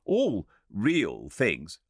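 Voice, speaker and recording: A man voicing an argumentative gentleman sceptic in an audiobook, querulous and challenging. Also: lowish voice, wildly swooping pitch, drawn-out words, breathy edge, no echo, studio quality, voice pushed up, exaggerated voice. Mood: objecting, sceptical. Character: argumentative red-haired gentleman sceptic, quick to object. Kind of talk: audiobook character reading.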